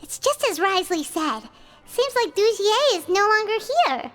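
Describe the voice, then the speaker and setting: A woman voicing a small, cheeky female fairy companion in a video game, with a squeaky childlike voice and a perky manner. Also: sing-song, normal speed, faint music behind. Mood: curious, determined. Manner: perky, speaks of herself in third person. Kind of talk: animated game voice acting.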